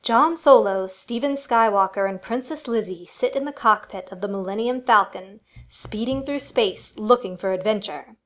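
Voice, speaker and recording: A woman's voice: mid-range voice, moderate pace, hardly any pauses, a bit bright. A woman reading scripted storytelling narration for a family radio play.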